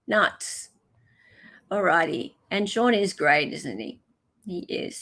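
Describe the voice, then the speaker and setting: A woman's voice: medium pitch, creaky, fairly bright tone. An Australian woman hosting a livestream, talking in a casual, chatty way and commenting informally to her viewers. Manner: chatty, informal, greets viewers mid-talk. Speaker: livestream host.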